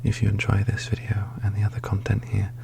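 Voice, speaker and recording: A man hosting a channel, reading a scripted ASMR intro in a hushed, breathy manner. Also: fairly low pitch, completely flat delivery, fast, background noise. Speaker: channel host.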